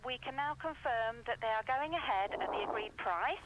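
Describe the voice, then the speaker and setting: Female estate agent, high bright-mannered phone voice, cheerful and professional, with chatter behind her. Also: animated, brisk. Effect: telephone. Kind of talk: customer phone call. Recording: telephone line with background chatter.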